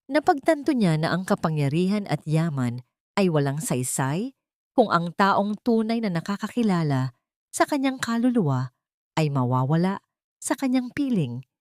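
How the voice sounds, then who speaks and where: Low-voiced woman narrating a dramatic story. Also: a little mellow, no echo, clean studio sound. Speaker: narrator of audio drama stories.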